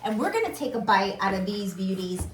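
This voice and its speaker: A woman's voice: medium pitch, big pitch swings, brisk, slight background noise. A woman hosting a casual talk show, bubbly and chatty, laughing often. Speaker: host. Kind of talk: casual chat show interview. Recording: echoey room.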